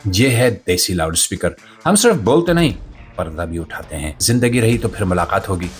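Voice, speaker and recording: Low-voiced man, animated satirical monologue. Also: normal speed, faint music behind. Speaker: host of a satirical commentary show.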